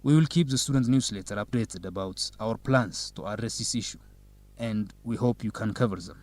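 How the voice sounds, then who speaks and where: An actor playing a dignified young student council president, answering formally in an educational radio drama. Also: male voice, fairly low pitch, a little mellow, dry recording, natural voice. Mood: formal, assured. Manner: formal, measured, politician-like.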